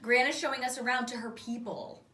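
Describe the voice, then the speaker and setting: A woman teacher reading a children's story aloud in an expressive, animated way. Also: fairly high pitch, fairly quick. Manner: animated, acts out the dialogue. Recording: roomy echo, distant microphone.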